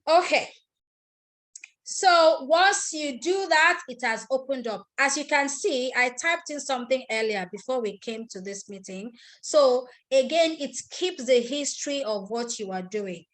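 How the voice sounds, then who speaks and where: A Nigerian woman with a somewhat high voice leads a live online tutorial over a computer mic. She talks through each step in turn, repeats key points and breaks off to ask attendees questions. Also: animated, measured pace, fairly bright tone. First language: Igbo. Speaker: online class instructor. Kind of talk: live screen-share tutorial.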